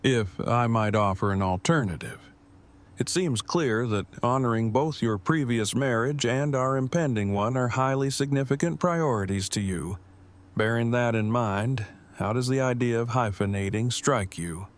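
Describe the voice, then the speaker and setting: A man's voice: medium pitch, normal speed, natural voice. A man playing a wordy, pedantic but devoted groom in an audio drama, speaking in stilted, formal phrases. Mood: earnest, curious.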